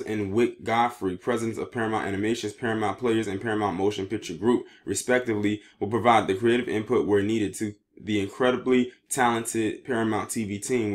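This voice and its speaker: Man, lowish pitch, online video commentator, news commentary, reading aloud, occasional stumbles over words.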